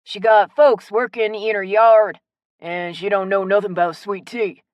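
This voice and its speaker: A woman's voice: mid-range voice, steady tone, a little slow, no echo, dull audio, voice pushed up, lightly acted. A woman narrator voicing a friendly, helpful small-town male shopkeeper in an audiobook story. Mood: helpful, chatty.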